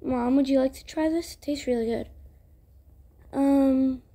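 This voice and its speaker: A woman's voice: high-pitched, fairly even intonation, measured pace, mellow. A woman telling a cartoon roleplay story, acting out the everyday dialogue herself.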